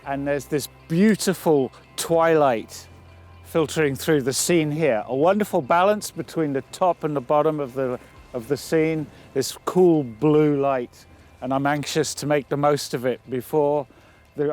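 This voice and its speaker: A man's voice: fairly high pitch, unhurried, a little mellow, soft background music. A man giving unscripted photography instruction outdoors, thinking aloud as he demonstrates. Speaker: photography presenter. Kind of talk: instructional talk to camera, unscripted. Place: outdoors on location.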